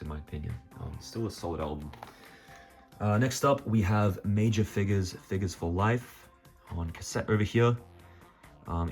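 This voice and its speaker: Low-voiced Australian man, casual show-and-tell. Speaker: music collector presenting a collection video.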